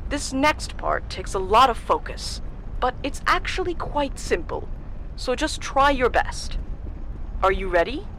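Slow, coaxing, all-seeing female guide acted. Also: fairly high pitch, slight background noise, exaggerated voice, poor audio. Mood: calm, coaxing. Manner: calm, coaxing, reassuring. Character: uncanny all-seeing soothing guide addressing a driver. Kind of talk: slow guided-relaxation monologue, audio drama.

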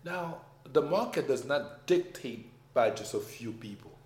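A man with a French accent talking in a casual conversation, emphatic and animated, his voice rising when he makes a point. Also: medium pitch, measured pace. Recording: echoey room, faint background noise.

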